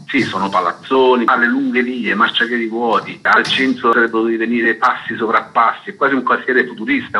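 A man with a low-pitched, very sharp and forceful voice that has a raspy edge. He is a radio guest giving a spontaneous analytical explanation. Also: rough recording. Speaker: interview guest discussing a book.

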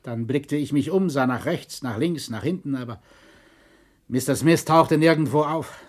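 A man playing a colonel and historian, a shaken shipwreck survivor giving measured, formal testimony in a documentary radio drama. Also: fairly high pitch, darkish tone, lightly acted. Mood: shaken, composed.

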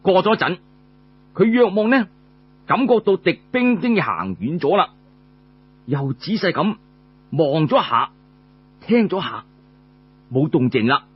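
A man with a Cantonese accent telling a story in the traditional oral style, colloquially, with drawn-out particles between phrases. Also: on the high side, very animated, slightly rough, lo-fi sound.

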